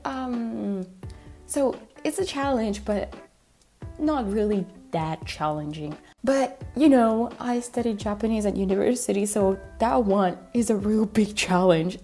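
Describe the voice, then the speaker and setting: Solo podcast host, woman, gravelly low-key voice, chatty and thinking aloud, soft music underneath. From Italy. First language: Italian. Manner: chatty, thinking aloud with many fillers and restarts. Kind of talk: solo podcast monologue on language learning.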